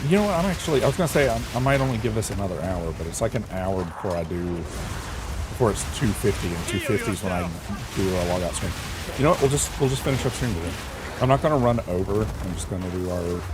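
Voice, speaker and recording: A man streaming a game and chatting casually, his voice rough, crackly and hoarse, rambling and changing his mind mid-sentence. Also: on the low side. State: hoarse, sore throat. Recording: steady background noise.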